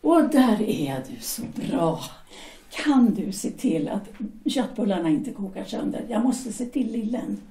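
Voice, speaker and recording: A woman's voice: medium pitch, lively intonation, warm tone, reverberant, far from the mic, lightly acted. An actress playing a firm, harried young wife and mother who speaks insistently. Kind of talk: audio drama acting.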